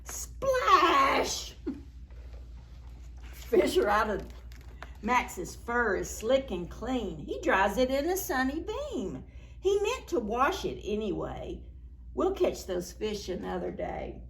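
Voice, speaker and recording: A woman with a fairly high voice reads a children's picture book aloud. Her pitch swings widely and she takes her time over the words. The delivery is playful and dramatic, pitched at very young listeners. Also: takes it slowly, gravelly. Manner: animated, playful, dramatic reading with gasps and exclamations; addresses young children with simple questions. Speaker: children's library storyteller.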